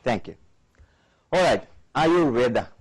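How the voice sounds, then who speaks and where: A man's voice: medium pitch, lively intonation, a little slow, husky. A man from India in his forties with an Indian accent, a doctor giving a conference lecture and engaging the audience with questions. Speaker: Ayurvedic doctor, guest lecturer.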